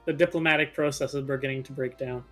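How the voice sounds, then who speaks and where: A man's voice: mid-range voice, fairly even intonation, fast. A man in casual, playfully insistent banter with friends as he co-commentates a video game. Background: game music.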